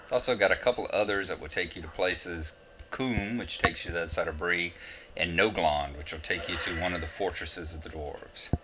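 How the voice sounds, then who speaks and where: A man's voice: low-pitched, brisk, heavy background noise. A man with a Southern US accent giving casual, rambling gameplay commentary with self-mocking asides. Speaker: game walkthrough narrator.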